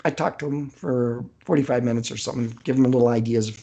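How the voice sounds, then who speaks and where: A man's voice: mid-range voice, steady tone, fast, creaky, warm tone, slight background noise. An older man chatting casually as an author guest on a podcast, hesitant and rambling as he thinks aloud. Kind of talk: casual unscripted interview chat.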